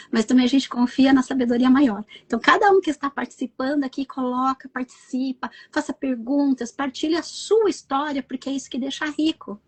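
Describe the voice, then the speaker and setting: A woman hosting an online live conversation, talking in a lively way and calling on her viewers to join in. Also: high voice, husky. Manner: lively, addresses the audience directly and invites participation. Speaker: host of a live stream.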